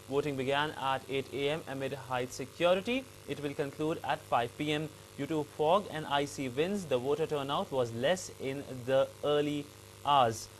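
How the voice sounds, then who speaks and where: A man with an Indian English accent reading the news as an anchor, in a halting, slightly stumbling delivery. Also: fairly high pitch, slight background noise, poor audio.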